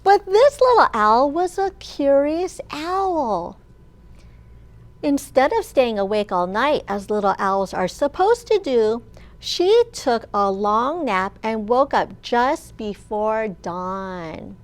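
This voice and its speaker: Woman, children's storytime host. High, very slow, lively sing-song read-aloud with pauses for little listeners. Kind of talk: expressive read-aloud of a picture book to young children.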